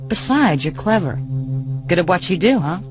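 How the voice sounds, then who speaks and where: A woman's voice: fairly low pitch, big pitch swings, moderate pace. An actress playing a tough, streetwise female mercenary in a radio drama, speaking in a blunt, clipped, knowing way. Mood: cool, confiding. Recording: music and street noise behind.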